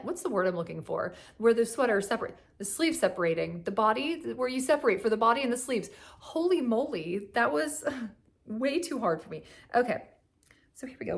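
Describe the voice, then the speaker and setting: A young woman, slightly hoarse from a cough, chatting casually at home about her knitting, rambling with lots of fillers and little laughs. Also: a little high. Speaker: knitting vlogger. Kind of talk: casual chat. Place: at home on a couch.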